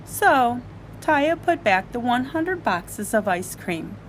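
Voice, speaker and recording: A woman storyteller reading a children's story aloud in an animated, expressive way. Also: a little high, slow pace, slight background noise, low-quality recording.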